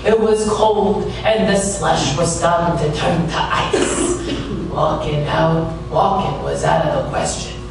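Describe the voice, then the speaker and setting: A man's voice: a little high, fairly even intonation, slow pace, recorded from a distance, background noise. A man playing a hard-boiled detective who narrates his own case wryly, in noir radio style. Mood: wry, moody. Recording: echoey room, music behind.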